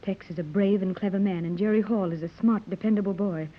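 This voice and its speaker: A woman's voice: medium pitch, fast, slight background noise, natural voice. A woman playing a tender, anxious wealthy American mother, earnest and melodramatic in 1930s radio serial style. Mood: resolute. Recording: muffled old 1930s radio recording.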